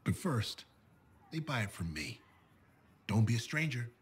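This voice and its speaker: A man's voice: medium pitch, big pitch swings, measured pace, background noise. A man voicing a brash, friendly armor smith in a video game, talking like a showy salesman. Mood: upbeat, boastful. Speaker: merchant at a forge.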